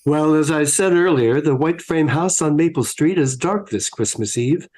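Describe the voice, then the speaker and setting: A man's voice: a little high, normal speed, a little mellow. A man announcing and narrating in a scripted, old-time radio show style.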